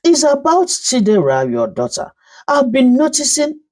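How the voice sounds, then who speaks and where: A woman's voice: sits high, wildly swooping pitch, measured pace, darkish tone, pitched above the performer's own voice, exaggerated voice. A woman voicing a concerned, polite neighbour mother in a story, earnest and careful.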